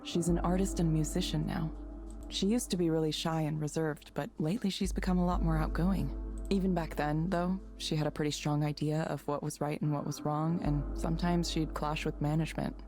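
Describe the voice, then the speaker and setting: A woman acting a reserved, thoughtful character in a visual novel game, with understated, measured delivery. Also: deep voice, steady tone. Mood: subdued, guarded. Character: reserved, thoughtful woman, a former engineer turned counsellor. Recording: music clearly behind the voice.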